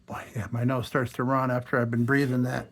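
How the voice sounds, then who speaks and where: A hoarse man talking casually to himself as he restores an old lantern at a workshop bench, thinking aloud. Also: medium pitch, little pitch movement, brisk, slight background noise. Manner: chatty, thinking aloud while working. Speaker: restoration channel host.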